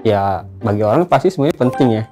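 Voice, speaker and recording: A man's voice: on the low side, expressive, fast, warm tone, soft background music. A man in his thirties answering interview questions in a hesitant, rambling way. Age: 30s. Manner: hesitant, rambling, many fillers.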